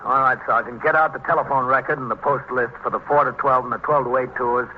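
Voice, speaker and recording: An actor playing a steady, commanding American police captain in an old-time radio drama, speaking in a measured, matter-of-fact way. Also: male voice, medium pitch, close to an everyday voice. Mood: brisk, businesslike. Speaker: narrator and lead character. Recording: muffled old radio recording, faint noise.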